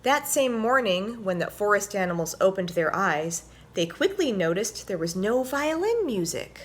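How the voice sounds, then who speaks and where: A woman presenting and reading a children's story aloud in an expressive, clearly told storytelling manner. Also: mid-range voice, moderate pace, a little hiss or hum.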